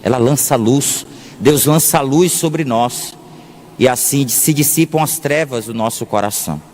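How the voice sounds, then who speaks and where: Slow-paced Brazilian priest in an echoing hall. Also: male voice, mid-range voice, a little mellow, a little hiss or hum. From Salvador, Bahia, Brazil. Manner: deliberate, pausing often, repeats phrases for emphasis. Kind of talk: sermon. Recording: long echoing reverb of a large hall.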